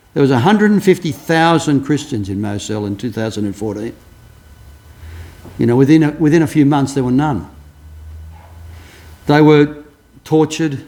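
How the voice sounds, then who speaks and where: A man's voice: on the high side, darkish tone. An older man preaching a sermon as pastor, telling stories earnestly with frequent restarts. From Australia. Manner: earnest storytelling, many restarts.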